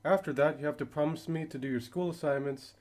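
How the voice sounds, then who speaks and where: Guy acting a patient dad, soft dark mellow tone, calm, radio play. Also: on the high side, fast talker, lightly acted. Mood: patient, puzzled. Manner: calm, reassuring. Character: gentle, patient father of a young girl. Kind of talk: amateur radio drama acting.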